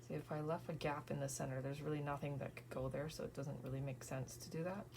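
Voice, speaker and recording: A woman in her thirties, tired from an early morning, softly thinking aloud and often whispering as she works on a scrapbook page. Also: low voice, steady tone, quick pace, creaky, background noise. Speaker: scrapbooking crafter. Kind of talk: casual crafting vlog narration.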